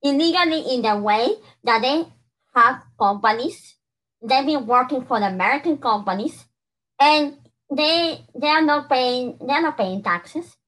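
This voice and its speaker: Bright-voiced woman from Peru. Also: sits high, lively intonation, unhurried, low-quality recording. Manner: earnest, many restarts and "you know". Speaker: podcast co-host. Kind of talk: casual conversation.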